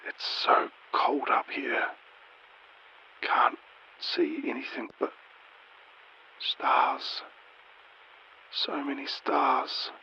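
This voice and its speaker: A man voicing a weak, dazed, freezing ghost pilot in a breathy whisper over a muffled radio, in a horror story. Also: medium pitch, steady tone, extremely slow, long pauses, sharp tone, slight background noise, voice pushed up, exaggerated voice. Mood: weak, dazed awe.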